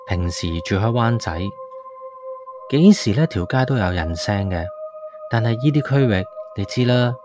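Hong kong guy, low voice, acting as an uneasy tenant telling his ghost story like he's chatting to you, music under it. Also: expressive, moderate pace, natural voice. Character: uneasy male city tenant moved to an old village house. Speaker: narrator and lead character of a radio drama. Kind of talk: first-person ghost story narration, colloquial. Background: background music.

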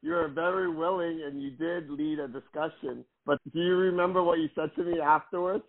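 A friendly, chatty man hosts a church podcast as its pastor, asking questions and laughing easily. His voice sits fairly high and sounds thin and tinny, like a phone line. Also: a little fast, gravelly. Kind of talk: casual interview.

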